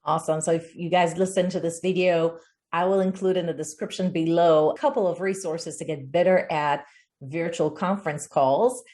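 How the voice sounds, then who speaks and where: A woman's voice: on the low side, fairly even intonation, moderate pace, a little mellow. A woman hosting a video interview in a conversational style, with casual, informal phrasing. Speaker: interview host.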